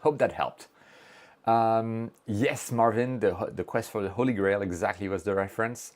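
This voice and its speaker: A man's voice: on the low side, normal speed. A man hosting a casual livestream, reading viewer messages aloud, joking about himself and explaining technical steps plainly. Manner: chatty, reads chat messages aloud, self-joking. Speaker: livestream host.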